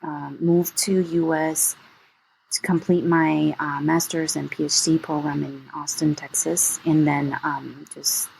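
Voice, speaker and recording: Woman, low voice, gravelly, hesitant. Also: little pitch movement, measured pace, darkish tone, slight background noise, poor audio. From Taiwan. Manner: hesitant, searches for words. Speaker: guest, assistant professor.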